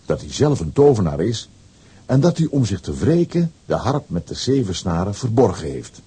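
A man narrating a scripted children's audio story with a husky voice and wry asides. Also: on the low side, sing-song, normal speed, dark tone.

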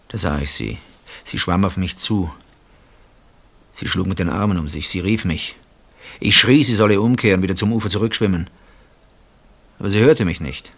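Older husband, deep pitch, bitter, sardonic, deliberate pauses, radio play. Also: male voice, gravelly. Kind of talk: German radio play acting.

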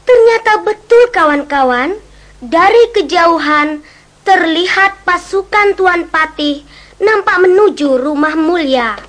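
A woman narrating a children's story, animated and speaking to listeners as friends. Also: extremely high pitch, slow pace.

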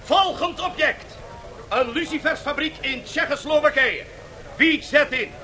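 A male actor playing a brash, mocking auctioneer in a radio play, with loud, theatrical market-barker patter. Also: very high-pitched, unhurried. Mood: mocking, hawking. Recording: faintly noisy 1970s radio drama tape.

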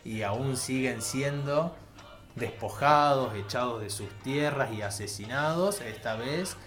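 An Argentine man speaks as a radio host in a conversational community-radio style. His voice sits at a middle pitch, and music plays behind it. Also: measured pace, a bit bright.

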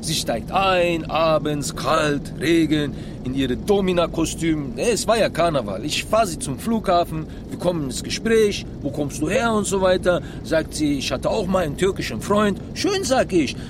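A man acts out a chatty Turkish cabbie, loyal and easily aggrieved. His voice is fairly high and lively, full of emphatic indignation, in a radio play set in a car with some traffic noise. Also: moderate pace, hardly any pauses, lightly acted. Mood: indignant. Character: excitable, aggrieved Turkish taxi driver and loyal friend. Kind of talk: radio drama dialogue. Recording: noisy car interior with traffic.

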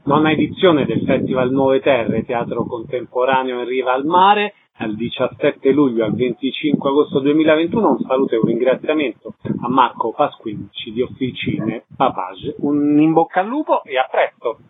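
A man's voice: medium pitch, animated, moderate pace, hardly any pauses, slightly rough, no echo. Male radio interviewer, dry phone-like sound.

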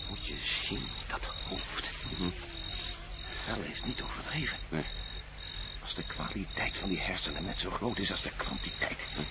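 Casting: wry, wary Dutch adventurer, lively swings in pitch, old radio drama, heavy noise and crickets. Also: male voice, normal speed, long pauses. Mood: pondering. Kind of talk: Dutch radio play acting. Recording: noisy old radio drama with outdoor ambience.

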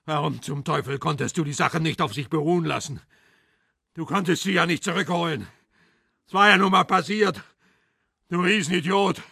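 An actor playing a desperate, foul-mouthed villain in a crime radio drama, pleading bitterly in a hoarse, strained voice. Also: male voice, fairly high pitch, moderate pace, pauses often, pushed and bright, dry recording, studio quality, exaggerated voice. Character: desperate, foul-mouthed male villain, a huge treacherous friend.

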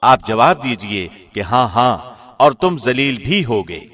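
A man reading a scripture translation formally, in the measured, solemn declamation of a radio presenter. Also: mid-range voice, sing-song, a bit bright.

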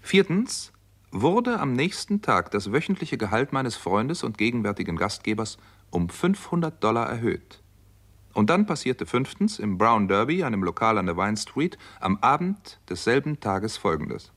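An actor playing a composed, resolute doctor who narrates a radio crime story in a measured, matter-of-fact way. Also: male voice, mid-range voice, sing-song, a bit bright. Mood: measured narration. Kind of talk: scripted first-person crime drama narration.

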